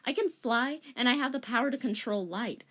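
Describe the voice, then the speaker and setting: A woman's voice: fairly high pitch, fairly quick, fairly bright tone, voice pushed up. A woman voicing a boastful, flirty female bending tutor in a gushing, dramatic manner. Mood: excited.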